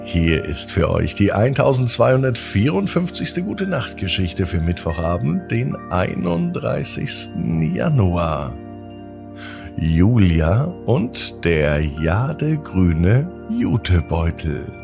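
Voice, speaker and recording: A man hosting a children's bedtime podcast, narrating in a gentle, drawn-out storytelling manner. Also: low-pitched, sing-song, vocal fry, music in the background.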